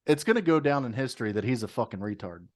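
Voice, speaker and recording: A man's voice: mid-range voice, lively intonation, fast, a little hiss or hum. Ranting, profane man on a podcast. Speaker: podcast co-host. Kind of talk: casual chat.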